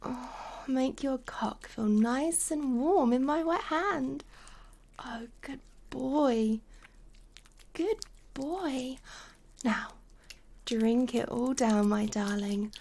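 A woman playing a doting, seductive lover in an intimate adult audio roleplay, breathy and coaxing, often slipping into whispers. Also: high voice, takes it slowly, darkish tone, exaggerated voice. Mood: encouraging, aroused.

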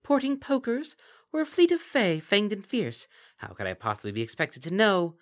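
A man's voice: high voice, wildly swooping pitch, moderate pace, pitched above the performer's own voice, exaggerated voice. A man voicing a sly, mocking female kelpie in a lilting sing-song manner for a story reading.

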